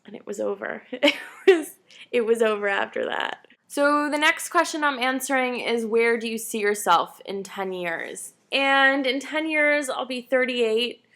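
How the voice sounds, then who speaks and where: Tired woman in her late 20s vlogging, chatty, kinda high bright voice. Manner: chatty, self-deprecating, animated. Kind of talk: casual chat to camera.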